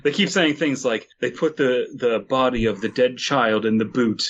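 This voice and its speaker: A man's voice: mid-range voice, normal speed, fairly bright tone. Playful man with a dull lo-fi sound. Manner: playful, wordplay, giggles a lot. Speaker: podcast co-host reading a story aloud. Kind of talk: casual comedic chat and reading.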